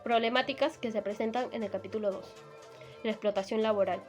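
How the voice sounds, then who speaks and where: Higher-pitched woman reading scripted analysis. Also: fairly even intonation, a little fast, soft background music. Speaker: narrator. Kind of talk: scripted explanatory narration.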